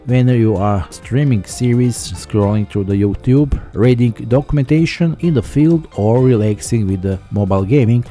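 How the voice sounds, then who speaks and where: Man with a very dark, mellow voice. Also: medium pitch, normal speed, few pauses, faint music behind. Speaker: tech reviewer. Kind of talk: scripted product review narration.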